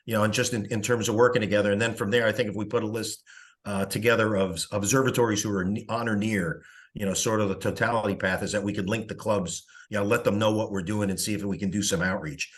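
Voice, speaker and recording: A man giving a rambling, conversational update as a coordinator on an online meeting call. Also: fairly low pitch. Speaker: publicity coordinator reporting at a committee meeting. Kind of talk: informal spoken report on a teleconference.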